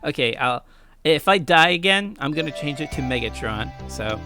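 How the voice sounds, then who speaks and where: A woman's voice: low voice, expressive, moderate pace, music in the background. A woman doing a casual, slangy Let's Play, chatting and reading visual novel text aloud in a playful, informal way.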